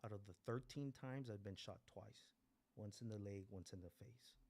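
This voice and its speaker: A man's voice: lowish voice, moderate pace, darkish tone. A man in his forties from Mexico, raised in East Los Angeles, speaking candidly and profanely as he gives personal testimony at a meeting. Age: 40s. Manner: blunt, profane, repeats phrases for emphasis. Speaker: guest speaker at a recovery meeting.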